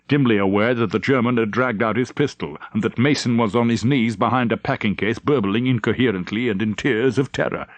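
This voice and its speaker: A man's voice: on the low side, no room sound. A man narrating a novel as a scripted, dramatic audiobook reading.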